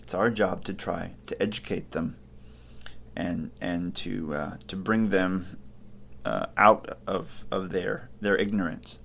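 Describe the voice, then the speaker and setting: Man, low unhurried podcast voice. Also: vocal fry, a little hiss or hum. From Ohio, United States. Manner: relaxed, thinking aloud with frequent fillers and restarts. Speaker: podcast host. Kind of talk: casual podcast commentary on philosophy.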